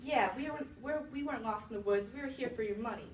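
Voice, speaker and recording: Lady doing a sneaky little storybook kid, higher voice, echoey room, bit far from mic. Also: fast, close to an everyday voice. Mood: taunting. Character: two sly young children, mock-pleading and taunting. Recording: roomy, distant mic with faint noise.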